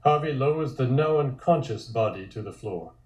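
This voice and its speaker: A man narrating a scripted comic table read in measured, descriptive storytelling, with echoey room sound. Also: medium pitch, lively intonation, a little mellow, highs cut off.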